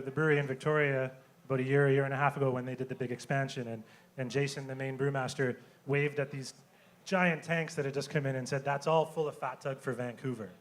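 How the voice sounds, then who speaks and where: A man moderating a panel at an event, asking his questions hesitantly with plenty of fillers. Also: medium pitch. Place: event room with an audience.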